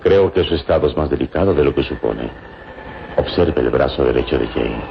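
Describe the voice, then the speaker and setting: A man playing a calm, wise hero in an old radio drama, speaking gravely and deliberately. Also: very deep voice, heavily acted. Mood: grave, explanatory. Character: calm, wise male hero with hypnotic powers. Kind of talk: old Latin American radio drama acting. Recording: dull, noisy old radio recording.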